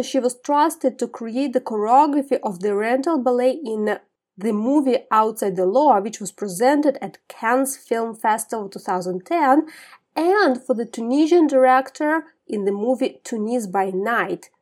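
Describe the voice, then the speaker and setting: A woman's voice: fairly high pitch, unhurried, darkish tone. A woman hosting a podcast interview, upbeat and emphatic as she introduces her guest and asks questions. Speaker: podcast host.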